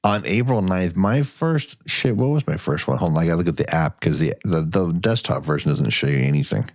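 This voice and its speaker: A man's voice: deep voice, expressive, a little fast, a little mellow, no room sound, studio quality. A man co-hosting a casual podcast, congested from a lingering cough, loose and profane, laughing often. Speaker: podcast co-host. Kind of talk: casual chat.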